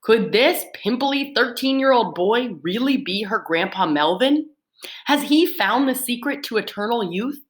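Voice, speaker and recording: A woman teacher reading book summaries aloud to her students in an expressive storytelling manner. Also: a little slow. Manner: lively, expressive read-aloud with swooping pitch.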